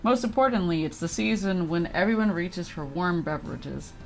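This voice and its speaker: A woman's voice: lowish voice, normal speed, creaky, faint music behind, low-quality recording. A woman livestreaming a video game, chatting casually and reading game text aloud in a giggly, reactive manner.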